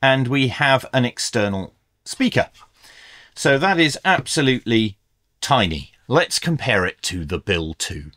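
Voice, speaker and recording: A middle-aged man hosts a product review in a medium-pitched voice. His intonation moves up and down a lot as he presents. The sound is dry, with very little room echo. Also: sing-song, unhurried, a bit bright. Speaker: YouTube host reviewing radio gear. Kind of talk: scripted product review with hands-on commentary. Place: small indoor electronics workshop.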